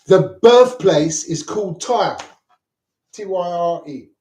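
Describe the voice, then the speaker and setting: A man's voice: high-pitched, animated, slow, warm tone. A middle-aged man from Lebanon, talking in a rambling personal monologue. He is agitated, repeats himself and swears often. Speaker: vlogger. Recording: echoey room.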